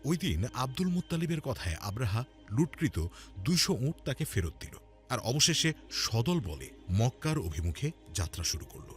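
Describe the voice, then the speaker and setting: A man's voice: medium pitch, very animated, moderate pace. A man narrating a scripted religious cartoon story in a dramatic storytelling style. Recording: music under the voice. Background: background music.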